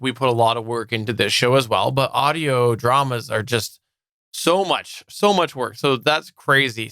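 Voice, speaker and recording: A man co-hosts a podcast in a relaxed conversational style. His voice is bright and smooth at a middling pitch, with a clean tone throughout. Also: no room sound. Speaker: podcast co-host. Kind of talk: casual podcast chat.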